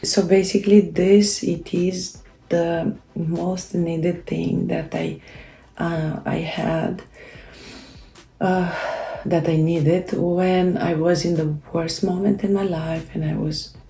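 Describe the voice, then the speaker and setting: A woman whose first language is Romanian talks slowly and haltingly, often stopping to restart her sentences. Her voice is gravelly, fairly low and mellow. The recording has a noticeable room echo. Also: flat delivery. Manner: halting, many fillers and restarts. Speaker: self-help creator. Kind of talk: casual motivational talk.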